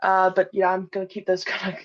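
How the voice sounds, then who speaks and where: A woman being interviewed about her audio drama, speaking hesitantly with many pauses and fillers. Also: medium pitch, completely flat delivery. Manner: hesitant, many ums and uhs. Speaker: podcast guest, audio drama creator. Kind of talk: casual interview chat. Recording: dull lo-fi audio.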